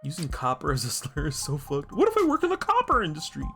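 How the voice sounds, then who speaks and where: A man's voice: on the high side, huge pitch swings, fast talker, a bit bright, faint music behind. A man giving casual, jokey commentary as he plays through a video game. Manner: jokey, informal. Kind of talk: playthrough commentary mixing casual reactions and voiced dialogue reading.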